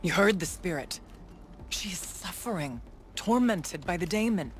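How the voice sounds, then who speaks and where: Solemn shaman huntress lady, formal measured game drama, bit of background noise. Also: lowish voice, expressive. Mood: earnest, pleading. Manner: measured, formal storytelling phrasing. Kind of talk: dramatic video game cutscene acting.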